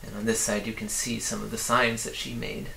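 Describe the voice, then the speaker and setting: A man in his forties reads a children's story aloud. His voice has a noticeable creak, picked up from a little distance in a roomy study with faint hiss. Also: lowish voice, flat delivery, brisk, bright, forceful tone. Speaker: reader. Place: small indoor study with bookshelves.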